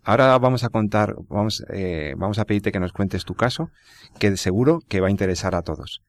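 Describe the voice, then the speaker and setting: Low-voiced man hosting a radio program. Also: expressive, dry recording. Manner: formal, measured presenter with some restarts. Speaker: radio host. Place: radio studio.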